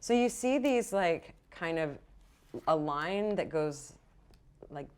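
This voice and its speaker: Woman, slightly low voice, game master, conversational narration, informal halting explanations. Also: lively intonation, clean tone.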